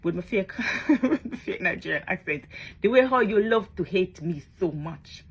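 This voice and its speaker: Husky woman vlogging. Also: mid-range voice, expressive, normal speed. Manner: chatty, emphatic, tag questions. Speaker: social media blogger. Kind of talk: casual vlog monologue.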